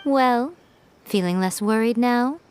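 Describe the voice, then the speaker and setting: A woman's voice: fairly high pitch, takes it slowly, a little hiss or hum. A woman playing a sly, self-assured shrine maiden in an anime video game, poised, coy and playfully teasing. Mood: amused.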